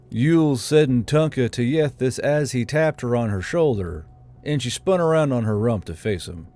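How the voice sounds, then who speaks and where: A man reading a scripted fantasy story aloud as an audiobook narrator. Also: mid-range voice. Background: soft background music.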